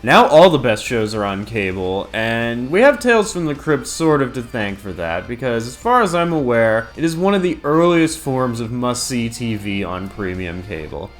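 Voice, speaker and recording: A man's voice: medium pitch, lively intonation, moderate pace, few pauses, faint music behind. A man hosting and narrating a scripted video review in a casual, profane, enthusiastic manner. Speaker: video host.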